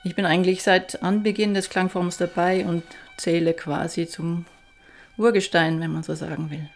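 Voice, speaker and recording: Middle-aged woman from Austria, violinist, gravelly lower voice, reflective interview tone, faint violin music behind. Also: level tone, normal speed. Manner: reflective, enthusiastic about her work. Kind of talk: documentary interview.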